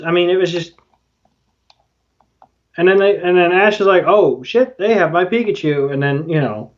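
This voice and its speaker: A man's voice: high-pitched, highs cut off. A man co-hosting a podcast, casually retelling a show in a folksy, mildly profane way. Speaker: podcast co-host. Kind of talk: casual episode recap chat.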